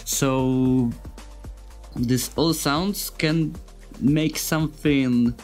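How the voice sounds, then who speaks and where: A man with a non-native English accent giving an informal tutorial as a sound designer, speaking in simple, halting phrases and searching for words. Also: mid-range voice, darkish tone, soft background music. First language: not English. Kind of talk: informal software tutorial narration.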